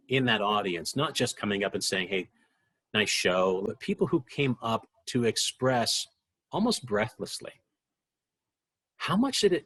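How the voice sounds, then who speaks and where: A man's voice: medium pitch, fairly bright tone, breathy edge. A man being interviewed, looking back reflectively on his experiences. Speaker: radio drama participant being interviewed.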